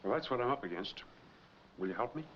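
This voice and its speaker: A man's voice: mid-range voice, lively intonation, quick pace, a bit bright, lightly acted. A middle-aged American man playing a weary, earnest fugitive wronged by the law, in 1940s film noir style. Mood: urgent, earnest. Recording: dull, noisy 1940s film soundtrack.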